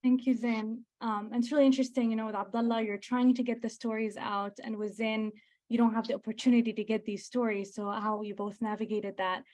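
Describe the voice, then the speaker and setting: A woman moderating an online panel in a friendly, measured way over a video call. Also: a little high, flat delivery, a little mellow.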